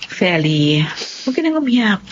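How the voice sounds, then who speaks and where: A woman's voice: mid-range voice, sing-song, measured pace, muffled recording, slight background noise. A woman playing a cheerful, encouraging old classmate in a radio drama. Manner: upbeat, encouraging. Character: cheerful supportive old classmate, now abroad.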